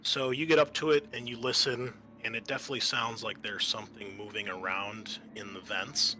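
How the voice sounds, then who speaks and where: A man narrating a tabletop game as game master, explaining things in a halting, improvised way. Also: lowish voice, fairly even intonation, pushed and bright, a little hiss or hum.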